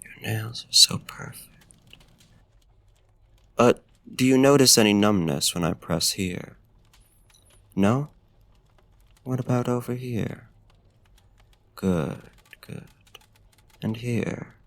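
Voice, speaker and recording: A man voicing a courtly, smitten vampire in a soft-spoken ASMR roleplay, with formal, old-fashioned, genteel diction and a hushed, lingering delivery. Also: fairly low pitch, slow, pauses often, dry recording. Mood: gentle, attentive. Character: courtly, smitten male vampire tending a frostbitten guest. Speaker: ASMR voice actor.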